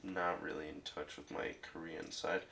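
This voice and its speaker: A man's voice: extremely low pitch, flat delivery, normal speed, gravelly, crowd noise. A young man co-hosting a casual Q&A with his sister, laid-back and goofy, reading out questions. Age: young adult. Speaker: co-host, reads questions. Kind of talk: casual chat.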